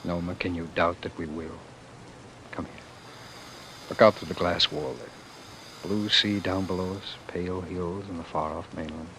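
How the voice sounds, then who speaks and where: An actor playing a weary, defiant former world ruler in love, with halting, theatrical delivery in the style of old radio drama. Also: male voice, deep voice, steady tone, pushed and bright. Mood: tender, reassuring. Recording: noisy vintage radio broadcast.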